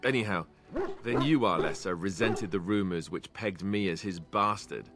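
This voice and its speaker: An actor playing a wry, self-deprecating young male warrior in a video game, sarcastic and quick to deflect with jokes. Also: low voice, over music. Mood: reflective. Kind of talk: video game character dialogue.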